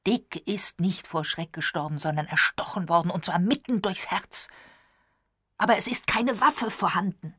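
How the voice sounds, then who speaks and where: A woman's voice: fairly low pitch, sharp tone, husky, dry recording, studio quality. A woman voicing an agitated, disbelieving man in a storybook, speaking urgently and exclaiming. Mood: stunned, disbelieving. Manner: urgent, exclaiming, halting repetitions.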